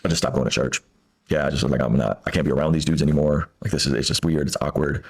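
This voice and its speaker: Very deep, fast-talking man. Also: fairly even intonation, vocal fry. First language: Russian. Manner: rapid, earnest, with many restarts. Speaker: podcast guest. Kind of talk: personal storytelling.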